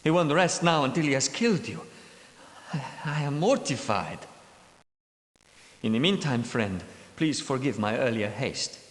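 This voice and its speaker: In a cavernous, echoing space, an actor voices a cunning Grail knight for a video game. He speaks in formal, old-fashioned phrases with expressive swings of pitch, a timid man who is secretly scheming. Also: lightly acted. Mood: apologetic, formal. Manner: formal, archaic, measured diction. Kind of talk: theatrical video game character dialogue. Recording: echoey hall with long reverb.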